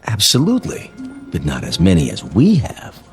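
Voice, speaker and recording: A man's voice: low voice, wildly swooping pitch, normal speed, faint music behind, heavily acted. An actor in a radio drama playing a smug, hawkish man who gloats and needles with menace. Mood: smug, gleeful.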